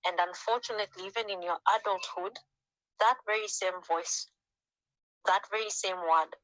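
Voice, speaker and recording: A woman from Africa giving an informal talk to a group on a video call, switching to lively voices when quoting parents. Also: normal speed, dry recording, low-quality recording. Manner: conversational, acts out quoted parents with jumps in pitch.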